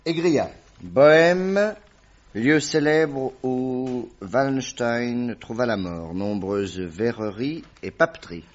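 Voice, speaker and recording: Casting: mild male doctor companion, extremely slow and hesitant, mid pitch, muffled vintage radio-play sound. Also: expressive. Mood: hesitant, studious. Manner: hesitant, deliberate. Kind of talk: French radio drama acting. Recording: dull, band-limited old radio recording.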